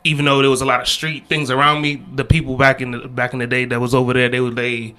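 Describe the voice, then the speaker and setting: Bright-voiced man, casual podcast chat. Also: mid-range voice, quick pace, clear, resonant tone. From Washington, D.C. area. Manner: relaxed, repetitive restarts, slangy. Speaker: podcast guest and co-host.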